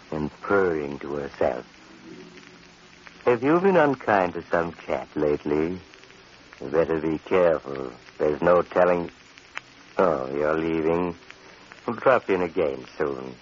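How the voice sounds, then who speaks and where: An actor playing a macabre, slyly genial doctor who hosts and narrates a 1940s American radio horror show in an ominous, theatrical way. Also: male voice, rumbling low. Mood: grimly relishing, then slyly menacing. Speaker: host and narrator. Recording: dull, noisy 1940s radio recording.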